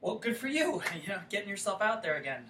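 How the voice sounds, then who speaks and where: Lonely, eager small-town ex-boyfriend played by a male actor, nervous rambler, bright voice, picked up from a distance. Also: sits high, very animated, fast talker, exaggerated voice. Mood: stunned, hurt. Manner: rambling, nervously repeating himself. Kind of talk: staged play reading, naturalistic dialogue. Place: indoor room at a staged reading. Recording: echoey room, distant mic, faint noise.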